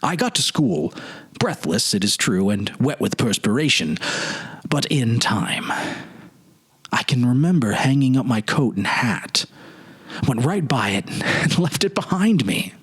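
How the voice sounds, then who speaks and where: A man voicing a wistful middle-aged gentleman who hesitantly muses over a childhood memory in a dramatic story reading. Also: mid-range voice, sing-song, measured pace, husky. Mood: reflective. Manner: hesitant, musing, reminiscing.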